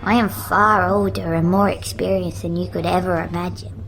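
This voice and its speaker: A woman's voice: lowish voice, steady tone, moderate pace, creaky, a bit bright, a little hiss or hum, poor audio. A woman voicing a knowing, eerie little girl with a posh upper-class English accent, prim and teasing.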